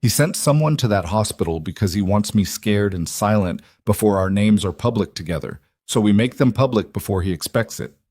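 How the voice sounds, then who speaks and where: A man's voice: fairly low pitch, animated, a little fast, few pauses, a little mellow, no echo, studio quality. A man voicing a brave, composed young waitress in an audiobook, speaking in terse, controlled, firm lines. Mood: firm, resolute. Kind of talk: audiobook character dialogue.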